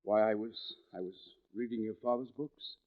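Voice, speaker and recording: A man's voice: lowish voice, steady tone, normal speed, lightly acted. Man acting edgy, secretive husband on tinny radio. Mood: evasive. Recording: vintage radio, phone-like narrow band.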